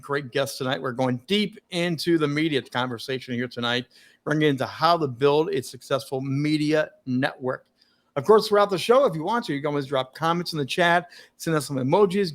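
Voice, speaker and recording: A man hosts a live-streamed talk show over a video call. He speaks in an upbeat, emphatic, promotional manner. His voice sits a little high. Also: fairly bright tone. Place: home studio, Washington DC.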